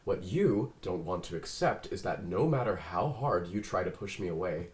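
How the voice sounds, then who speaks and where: A man reading the part of a calm, formal, earnest android detective in a drama script. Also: low voice, moderate pace. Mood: firm, caring. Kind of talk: table read of a drama script. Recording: roomy, faint noise.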